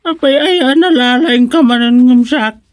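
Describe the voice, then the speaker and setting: A woman voicing a forgetful, grieving elderly parent in a radio drama comedy, halting and muttering, with an Ilocano accent. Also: high-pitched, natural voice. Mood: confused.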